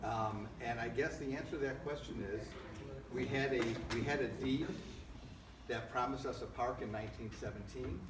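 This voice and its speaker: A man at a community meeting calmly explaining the background in a measured, explanatory way. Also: mid-range voice. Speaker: community member answering a question. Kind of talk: public comment. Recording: echoey hall, distant, noisy.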